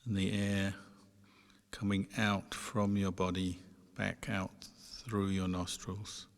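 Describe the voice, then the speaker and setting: Slow, low-voiced man guiding meditation. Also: completely flat delivery, gravelly. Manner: measured, pausing between short phrases. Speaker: meditation teacher. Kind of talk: guided meditation instruction. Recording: long reverberant hall sound.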